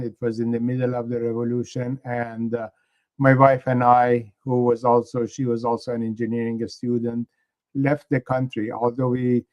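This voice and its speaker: A man in his sixties with an Iranian accent, answering interview questions in a measured, hesitant way as a guest. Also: medium pitch, a little mellow, rough recording. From Iran. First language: Persian. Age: 60s. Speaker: guest, engineering professor and activist.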